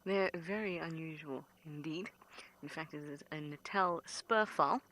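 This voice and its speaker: A woman guiding a live game drive, talking quietly and playfully, often close to a whisper, outdoors in the bush. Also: low-pitched, a little slow, slight background noise, low-quality recording. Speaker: safari guide.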